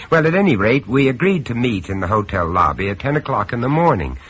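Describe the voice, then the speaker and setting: A man's voice: mid-range voice, very animated, fairly quick, a bit bright, husky. An actor playing an urbane, sardonic horror star narrating his tale in a radio play. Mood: wry. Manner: sardonic, droll, polished. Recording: noisy radio drama recording.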